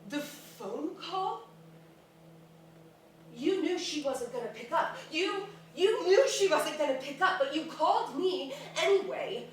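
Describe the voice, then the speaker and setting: Wounded, exasperated middle-aged mother for stage drama, acted by a woman; very echoey room, clear background noise. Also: high voice, animated, a little slow. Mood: wounded fury. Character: exasperated, wounded mother of a lying grown daughter. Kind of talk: staged play reading. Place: echoey room at a staged reading, far mic. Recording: far mic, echoey noisy room.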